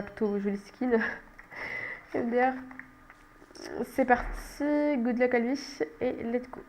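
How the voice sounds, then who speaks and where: A young woman talks through her gameplay with her nose stuffed up from a cold, her voice sitting a little high. She chats loosely and teases herself between plays. A faint hiss sits under the recording. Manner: chatty, self-mocking, laughs often. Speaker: gaming channel host. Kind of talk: casual gameplay commentary.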